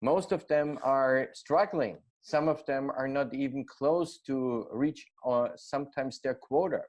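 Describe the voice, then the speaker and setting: A man's voice: mid-range voice, unhurried, darkish tone, lo-fi sound. Austrian guy being interviewed, thick accent, lots of uhs and restarts. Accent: Austrian German. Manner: hesitant, many fillers and restarts. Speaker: guest. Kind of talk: casual interview.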